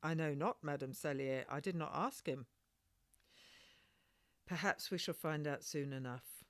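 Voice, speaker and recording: A woman voicing a dutiful, cautious maidservant in a storybook, plain-spoken and measured in a deferential way. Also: sits low, dry recording, clean studio sound.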